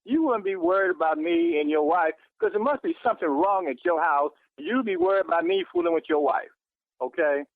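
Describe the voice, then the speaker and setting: A man's voice: high-pitched, expressive, fairly quick, no room sound. A man arguing heatedly on the phone, indignant and emphatic, repeating his phrases. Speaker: church member receiving a prank call. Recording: tinny telephone line.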